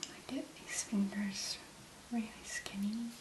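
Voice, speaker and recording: A woman hosts a soft-spoken ASMR tutorial in a breathy whisper at a middling pitch. She murmurs each step gently and without hurry. The recording is close but noticeably roomy. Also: level tone, slow pace. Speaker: ASMR video host.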